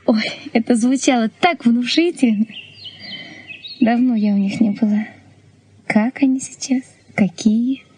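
An actress playing a spirited, teasing young woman in love in a Soviet radio play, with a husky voice and light, expressive delivery. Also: a little high, slow, pauses often, a little hiss or hum. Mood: wistful, playful.

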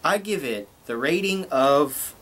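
A man's voice: a little high, lively intonation, a bit bright. A young man in a small bedroom giving a casual TV review vlog to a webcam, with loose restarts. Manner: unhurried, loose delivery with restarts. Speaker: video reviewer.

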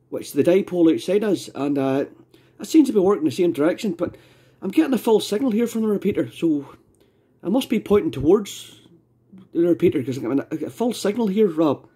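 A man's voice: high-pitched, mellow, husky. A Scottish man chatting casually as an amateur radio operator, rambling and repeating his phrases. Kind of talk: casual ham radio chat.

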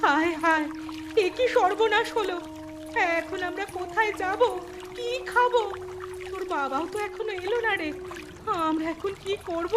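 A woman's voice: very high-pitched, normal speed. A woman voicing a fretful village mother. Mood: despairing. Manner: fretful, lamenting. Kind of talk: cartoon voice acting. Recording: music under the voice.

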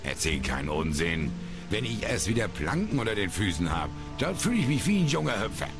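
Old retired sailor, game role. Very low, animated, folksy grumbler, over music. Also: male voice, fairly quick. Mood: gruff, wistful. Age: older. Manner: folksy, grumbling seaman's talk. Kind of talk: video game character dialogue. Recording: music under the voice.

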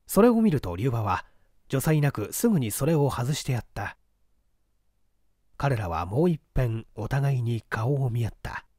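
Male narrator for mystery audiobook: formal, measured storytelling, expressive pitch movement, dry recording. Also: no echo. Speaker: audiobook reader. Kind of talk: scripted literary narration of a period detective novel.

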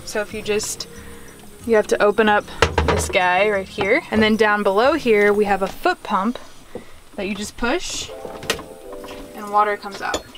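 A woman's voice: mid-range voice, a little slow, long pauses, over music. A young woman in her twenties giving a casual tour of her small converted van, chatty and full of "like" and "um", filmed on a handheld camera. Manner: chatty, lots of "like" and "um". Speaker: vlogger. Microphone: handheld wide-angle camera.

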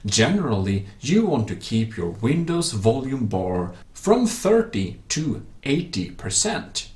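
A man's voice: mid-range voice, very animated, slow, darkish tone. A man narrating a tech tutorial as its host, in slightly awkward non-native English phrasing with some off-the-cuff asides.

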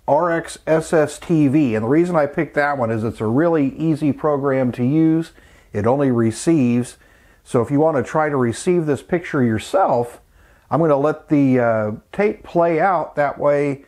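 A man's voice: mid-range voice, darkish tone. Middle-aged man, casual tutorial host. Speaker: host of a vintage electronics channel, amateur radio hobbyist.

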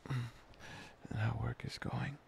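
A man performing an intimate boyfriend ASMR roleplay, murmuring softly and tenderly, breathy and often dropping to a whisper. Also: mid-range voice, flat delivery, slight background noise. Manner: soft, tender, murmuring with long pauses.

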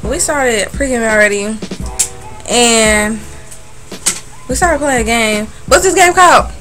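A young woman chats casually into a phone held at arm's length, slangy and playful. Her voice sits high, and music plays clearly behind her. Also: takes it slowly. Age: young adult. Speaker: vlogger. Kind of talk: casual vlog chat. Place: car, dorm and busy bowling alley.

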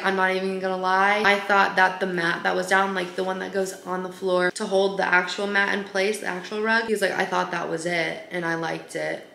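A woman's voice: mid-range voice, gravelly. Chatty young woman vlogging to a handheld camera. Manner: chatty and animated, slangy. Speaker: lifestyle vlogger. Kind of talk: casual vlog chat to camera. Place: home, car and shops, in and out. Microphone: handheld camera at arm's length.

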